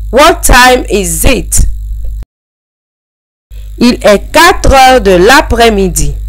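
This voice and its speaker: A woman reading short language-lesson phrases as a scripted drill, with measured, deliberate enunciation. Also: on the high side, a bit bright, low-quality recording. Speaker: language teacher narrator.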